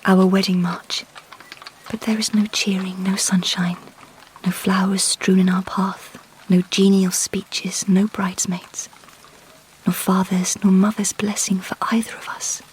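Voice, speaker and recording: Young Victorian bride played by a woman, earnest and brooding, breathy whispered monologue with narrow, even pitch, radio drama. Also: measured pace, a little hiss or hum, close to an everyday voice. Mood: wistful. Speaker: lead actress and narrator in a radio drama. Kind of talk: intimate inner-monologue radio acting.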